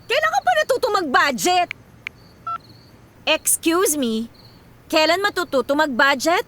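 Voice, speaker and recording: An actress playing an overworked young millennial daughter with a Filipino accent, theatrical and sarcastic in a comedic radio drama. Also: female voice, high voice, very animated, a little slow, fairly bright tone, full character voice. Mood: exasperated ranting. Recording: music behind the voice.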